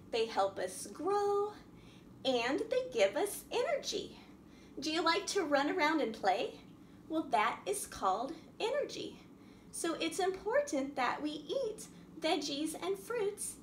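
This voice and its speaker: A middle-aged woman hosting a children's storytime, reading and explaining in a sing-song, animated manner with simple questions for small children. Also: sits high. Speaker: library storytime host. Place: small indoor room at a wooden table. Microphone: camera a few feet away. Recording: roomy echo, faint background noise.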